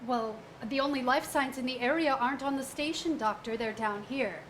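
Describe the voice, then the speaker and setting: A woman's voice: sits high, fairly quick, a little hiss or hum, close to an everyday voice, low-quality recording. A woman playing an earnest, eager young starship lieutenant in a musical parody reading. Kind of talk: musical theater parody acting. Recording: live stage recording with music behind.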